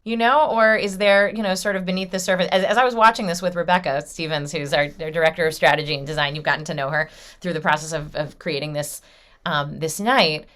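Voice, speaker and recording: Low-voiced woman hosting a video call. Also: steady tone, quick pace, a bit bright. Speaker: rabbi and event host. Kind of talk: casual interview on a video call.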